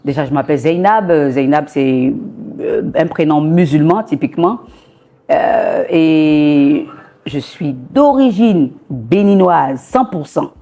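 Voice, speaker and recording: A young woman from Côte d'Ivoire, a singer talking casually in an interview, lively and quick to laugh. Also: low-pitched. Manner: lively, laughs often, animated asides.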